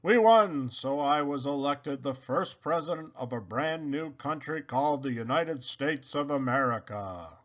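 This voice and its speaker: An earnest little schoolchild, acted by a man, proudly recites a president's speech in a storybook school play. The delivery is bright and declamatory, with big swings in pitch. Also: animated, a little slow, hardly any pauses, lo-fi sound. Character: earnest six-year-old schoolchild playing George Washington.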